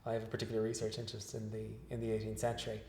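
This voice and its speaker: A man with a lowish, flat-toned voice answers interview questions as a researcher. He talks in a measured, hesitant way, with frequent fillers and restarts. The recording sounds echoey, as if made in a bare room. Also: a little hiss or hum. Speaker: guest researcher. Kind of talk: interview after a lecture.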